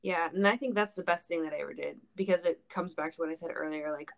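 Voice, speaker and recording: A woman's voice: lowish voice, fast talker, gravelly. A woman in her mid-twenties chatting casually and candidly on a podcast, blunt and self-deprecating, swearing freely. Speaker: podcast co-host.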